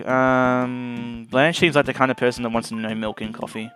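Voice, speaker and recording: A man's voice: medium pitch, level tone, normal speed, a bit bright. A man giving casual let's play commentary on a video game as its host. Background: game music.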